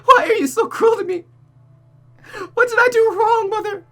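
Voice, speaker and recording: A shrill, unhinged young woman killer. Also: moderate pace, soft background music. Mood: desperate pleading. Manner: shrill, pleading, tearful, dramatic. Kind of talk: visual novel voice acting.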